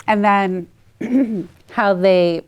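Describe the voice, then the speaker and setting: A woman's voice: medium pitch, very slow, muffled recording. A woman hosting an interview in a casual, chatty, informal way. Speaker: interview host.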